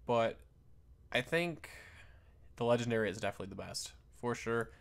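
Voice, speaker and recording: A man's voice: mid-range voice, lively intonation, normal speed, creaky. A man giving casual, off-the-cuff game commentary in an informal way, thinking aloud as he goes. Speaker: gaming content creator giving a first look.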